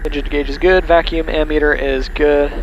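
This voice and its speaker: A man's voice: on the high side, little pitch movement, moderate pace, lo-fi sound. A male pilot calling out his preflight checks in clipped, matter-of-fact phrases from a small plane cockpit. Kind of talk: running a preflight checklist aloud. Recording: engine noise in cockpit.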